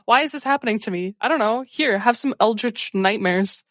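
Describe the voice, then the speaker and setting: A woman's voice: on the high side, fairly quick, no room sound, studio quality. A woman chatting casually and jokingly as a tabletop game player. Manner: playful, joking. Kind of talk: casual chat.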